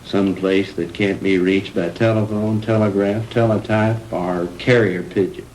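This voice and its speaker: An actor playing a sardonic, put-upon American newspaper reporter in a 1960s B-movie. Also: male voice, fairly low pitch, steady tone, a little slow, darkish tone, close to an everyday voice. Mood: dryly impatient. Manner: dry, sardonic. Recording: noisy old film soundtrack.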